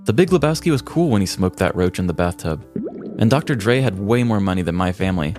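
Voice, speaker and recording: American guy podcast host, lowish voice, dry humor, bit of music behind him. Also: lively intonation, fast talker, a little mellow. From American Midwest. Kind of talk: casual comic podcast talk and scripted storytelling.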